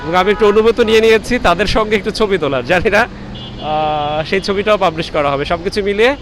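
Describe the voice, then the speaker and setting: A man with a Bangladeshi accent reporting on location outdoors in a measured, deliberate way with frequent pauses. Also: high voice, music in the background. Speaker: reporter interviewing students. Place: outdoors on a university campus. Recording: noisy outdoor street with traffic.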